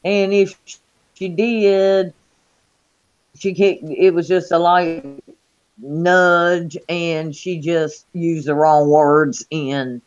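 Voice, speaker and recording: A man in his fifties co-hosting an American talk show, speaking slowly and drawn out. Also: a little high, steady tone. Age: 50s. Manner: slow and drawn out. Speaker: co-host. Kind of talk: casual chat. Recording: dull lo-fi sound.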